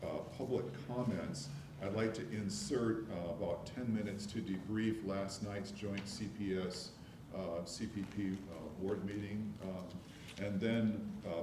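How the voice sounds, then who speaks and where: A man chairing a board meeting, measured and hesitant, with many fillers, recorded from afar in an echoey room with noise. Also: low-pitched. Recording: echoey room, mic from far off, background noise.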